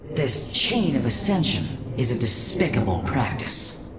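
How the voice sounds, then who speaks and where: Game role: stern alien matriarch, low register, animated inflection, proud and formal. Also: female voice, measured pace, full character voice. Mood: disapproving. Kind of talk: dramatic video game cutscene acting. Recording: loud humming ambience behind.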